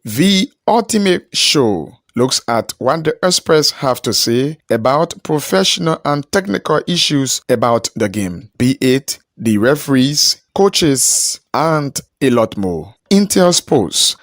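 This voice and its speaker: A man's voice: fairly high pitch, big pitch swings, takes it slowly, hardly any pauses, a bit bright. A man reading a scripted television promo as an announcer. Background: music bed.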